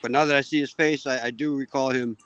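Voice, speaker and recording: A man's voice: mid-range voice, fairly even intonation, fast, fairly bright tone, clear, resonant tone, highs cut off, faint music behind. A man chatting casually from home by a fire, friendly and a bit hesitant. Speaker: guest. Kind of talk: casual chat.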